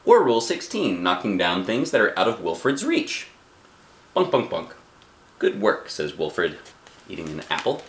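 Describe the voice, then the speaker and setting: Expressive middle-aged dad reading aloud. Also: male voice, deep voice, normal speed, slight background noise. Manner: playful, expressive, asking questions. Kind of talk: read-aloud storytelling with chatty asides. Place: small living room indoors. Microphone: camera a short distance away.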